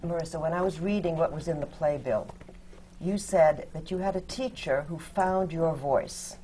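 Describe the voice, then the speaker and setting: A woman's voice: deep voice, moderate pace, warm tone, a little hiss or hum. A woman moderating a theater panel discussion and introducing the guests one by one.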